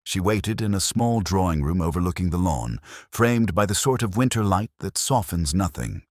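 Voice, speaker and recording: A man playing an ageing, melancholy police inspector, narrating a detective story in a measured, literary, brooding manner. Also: low-pitched, animated, no echo, studio quality. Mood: brooding, reflective. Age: older. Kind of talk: first-person scripted detective story narration.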